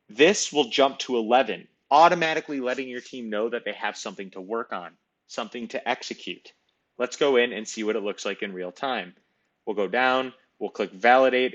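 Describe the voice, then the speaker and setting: A man narrating a screen-recorded software demo, explaining each step in a conversational way. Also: mid-range voice, muffled recording. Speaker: software demonstrator. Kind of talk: screen-recorded tutorial walkthrough.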